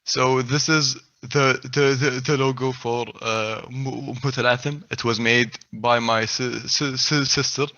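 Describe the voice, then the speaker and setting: A young man with a heavy stutter gives a personal talk and repeats the first sounds of many words. His voice is bright and forceful. The recording is dry and a little dull. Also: mid-range voice, steady tone, slow pace, hardly any pauses, no echo. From Saudi Arabia. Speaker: speaker at a stuttering event.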